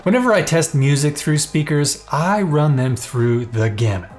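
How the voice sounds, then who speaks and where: A man's voice: fairly high pitch, lively intonation, normal speed, a little mellow, soft background music. A man giving scripted, playful and enthusiastic narration for a product review.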